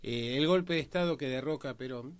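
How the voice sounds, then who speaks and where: A man's voice: medium pitch, a little fast. An Argentine man explaining political history as a panelist in a conversational discussion.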